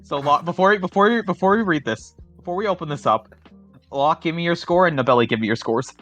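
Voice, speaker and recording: A man hosting a casual group livestream as a contest judge, talking in a jokey, sweary way with theatrical pauses. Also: a little high, fairly bright tone, muffled recording, faint music behind. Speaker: host and judge of a fanfiction contest stream.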